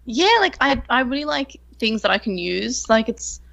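A woman's voice: fairly high pitch, expressive, moderate pace, creaky, fairly bright tone, dull audio. A woman chatting casually as a panelist on a fan podcast. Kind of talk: casual chat.

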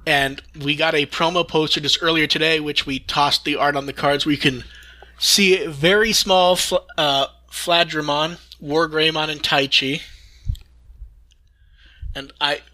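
A man's voice: on the high side, steady tone, measured pace, sharp tone. A man hosting a casual fan news discussion, talking in an enthusiastic, rambling way and occasionally swearing. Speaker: podcast host.

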